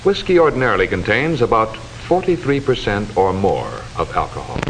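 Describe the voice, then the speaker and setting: A man delivering formal, measured, instructive scripted narration in the style of a 1940s educational film, on a hissy old soundtrack. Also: lowish voice, very animated, low-quality recording.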